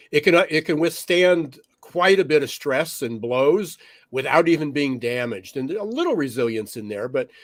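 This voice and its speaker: A man co-hosting a podcast, a little raspy today, talking loosely with many fillers and restarts. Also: medium pitch, no echo. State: slightly raspy from smoky air. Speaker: podcast co-host. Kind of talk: conversational podcast monologue.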